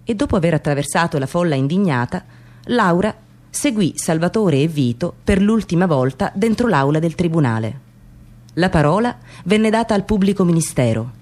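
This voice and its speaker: An actress playing a coldly formal, ironic narrator in radio theatre, measured and deliberate, in short phrases. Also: female voice, lowish voice, expressive, a little mellow. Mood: grave.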